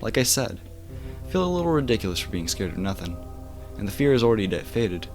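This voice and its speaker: A man with a fairly low voice narrates a horror story for bedtime listening. He reads in the first person like a home-made audiobook, now and then tripping on a word. Faint music plays behind him. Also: big pitch swings, fast talker.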